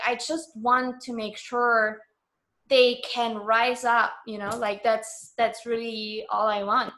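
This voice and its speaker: A woman's voice: fairly high pitch, a bit bright. A woman speaking as a guest in a casual radio interview, earnest, decisive and frank in her manner.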